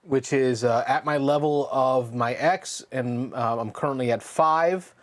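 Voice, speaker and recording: A man's voice: medium pitch, a little slow. A man chatting casually while playing a board game on a stream, reading out and answering viewer comments. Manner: reads out viewer comments and chats back. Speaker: board game player and host of a live play stream. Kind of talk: casual chat during board game play.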